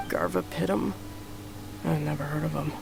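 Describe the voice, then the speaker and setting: Low, creaky-voiced chatty villager. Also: female voice, steady tone, normal speed, over music, rough recording. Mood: relaxed. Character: relaxed, chatty female villager. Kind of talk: video game voice acting.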